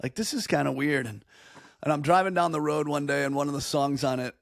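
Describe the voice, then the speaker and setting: A man's voice: fairly high pitch, brisk, vocal fry, no room sound, clean studio sound. A man hosting a podcast, chatting casually with enthusiasm and easy laughter. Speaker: podcast host. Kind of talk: casual chat.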